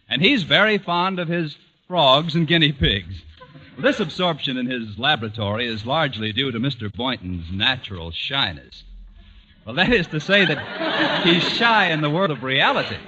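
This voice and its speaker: A man's voice: on the high side, very animated, normal speed, pushed and bright. A man reading scripted narration as the announcer of a vintage radio show. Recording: dull old radio broadcast recording. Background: music.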